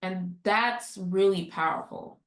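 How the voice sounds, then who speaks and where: A woman's voice: mid-range voice, slow pace, roomy sound. A woman hosting a casual podcast interview, speaking in a conversational, supportive way with trailing sentences. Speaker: podcast host.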